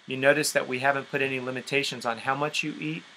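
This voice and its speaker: Man, sports coach, instructional talk, direct, emphatic, bright forceful voice, mid pitch, steady background hiss. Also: quick pace, rough recording. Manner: direct, emphatic, explaining with rhetorical questions. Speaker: endurance sports coach leading a conference call.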